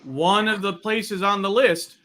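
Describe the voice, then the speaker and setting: Bright, high-voiced man co-hosting. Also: a little slow, a little hiss or hum. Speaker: producer and co-host of a live talk show. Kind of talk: casual live discussion.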